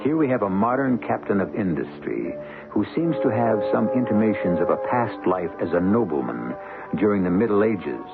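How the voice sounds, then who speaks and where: A man narrating as host of an old radio drama, in a measured, reflective scripted style. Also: lowish voice, very animated, gravelly. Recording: muffled old radio recording. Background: music.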